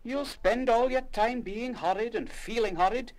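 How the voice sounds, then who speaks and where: High-voiced, kindly music teacher. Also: male voice, normal speed, poor audio. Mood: gently reproachful. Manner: gently reproachful, well-spoken. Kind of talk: full-cast radio play acting.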